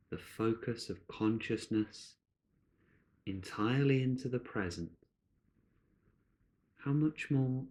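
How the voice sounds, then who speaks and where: Slow, low-pitched man guiding meditation. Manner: gentle, unhurried, with long pauses between short phrases. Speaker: meditation guide. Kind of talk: guided meditation.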